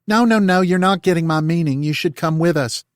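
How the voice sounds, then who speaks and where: A man's voice: fairly high pitch, brisk, dry recording, clean studio sound, voice pushed up, close to an everyday voice. A man voicing an earnest, motherly older woman with a down-home Southern accent and a folksy, pleading manner. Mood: reassuring. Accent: American Southern.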